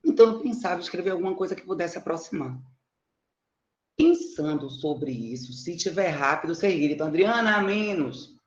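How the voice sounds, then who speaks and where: A woman's voice: lowish voice, expressive, normal speed. A middle-aged woman from Salvador with a Bahian Brazilian accent gives an informal online presentation as a teacher, chatty and self-deprecating, often checking with her listeners. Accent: Bahian (Salvador) Brazilian Portuguese. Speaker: mathematics teacher presenting research. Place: indoors, on an online conferencing platform. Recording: muffled, roomy online call audio.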